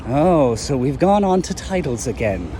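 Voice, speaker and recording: A man puts on a coldly formal villain for an audio drama. The voice drops lower, measured and dry, with weary condescension. A little noise sits behind it. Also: big pitch swings. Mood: annoyed.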